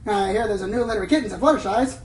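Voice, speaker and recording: A woman's voice: mid-range voice, racing, a little mellow, lightly acted. A woman playing a brash, self-important, condescending pony reporter in a radio play. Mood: cocky, condescending. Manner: cocky, condescending. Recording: roomy distant sound with faint music.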